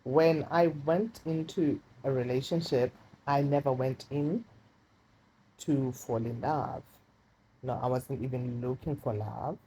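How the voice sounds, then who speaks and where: A woman with a deep voice casually telling a personal story, chatty and confiding, often repeating words for emphasis. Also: slow, mellow, a little hiss or hum, low-quality recording. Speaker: storytime vlogger. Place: indoors while rain falls outside. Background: light rain.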